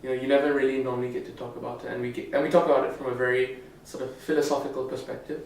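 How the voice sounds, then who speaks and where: A sixteen-year-old boy from Singapore, a summer school student, answering in a measured, articulate way with pauses. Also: medium pitch, little pitch movement, a little mellow. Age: teens. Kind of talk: interview answers. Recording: echoey room, distant mic, faint noise.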